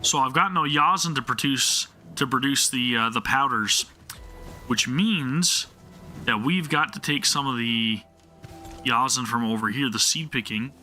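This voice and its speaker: A man's voice: medium pitch, measured pace, bright, forceful tone, faint music behind. A man live-streaming a game, talking to viewers and thinking aloud in a casual way, often questioning himself.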